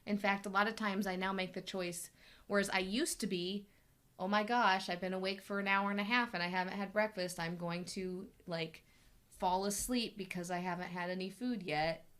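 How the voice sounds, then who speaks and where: A woman's voice: mid-range voice, steady tone, moderate pace, fairly bright tone. A woman hosting a casual live question-and-answer chat as a health coach, talking in a chatty, rambling way with many restarts, her voice still recovering from an illness. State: voice still recovering from being sick. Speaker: health coach and show host.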